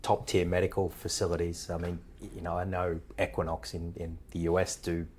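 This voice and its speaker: A man's voice: deep voice, monotone, a little fast, a little mellow. A man hosting a podcast interview, friendly and affirming, calling his guest "mate". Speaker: podcast host. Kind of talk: casual interview chat.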